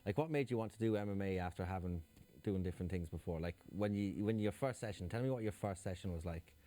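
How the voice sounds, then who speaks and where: A man's voice: low voice, fast talker, warm tone. A man hosting an interview, asking loose, run-on questions. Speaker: interviewer host.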